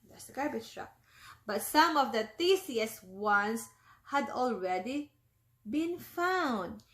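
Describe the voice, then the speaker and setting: A woman's voice: fairly high pitch, lively intonation, slow, fairly bright tone. A woman, a teacher, reading a picture book aloud to young children, often stopping to explain words and ask them questions.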